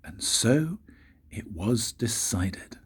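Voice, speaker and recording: An older man, a grandfather, reading a children's story aloud in a husky, breathy voice with expressive, dramatic pauses. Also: lowish voice. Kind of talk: storybook read-aloud narration.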